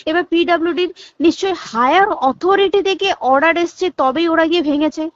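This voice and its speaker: A woman's voice: extremely high pitch, brisk, no room sound. A young woman with a West Bengal Bengali accent talks casually but insistently on a recorded phone call, often asking emphatic rhetorical questions.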